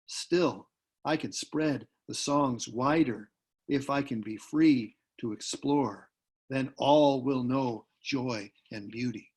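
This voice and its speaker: Man reading as proud, slow-spoken female mouse singer. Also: medium pitch, darkish tone, husky. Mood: yearning. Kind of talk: dramatic literary reading.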